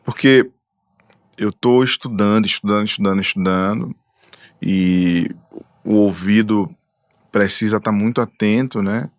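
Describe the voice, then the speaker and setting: A man talking as a musician guest, speaking in an unhurried, thoughtful way with trailing fillers. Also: on the low side, dry recording, clean studio sound. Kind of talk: reflective casual conversation. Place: studio.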